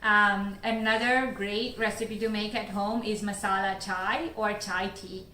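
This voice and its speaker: Woman from Sri Lanka, small-business founder, earnest and measured delivery, mid pitch, echoey room. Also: fairly even intonation, sharp tone, slight background noise. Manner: earnest, measured explanations with occasional fillers. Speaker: spice company founder. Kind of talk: scripted-sounding interview answers.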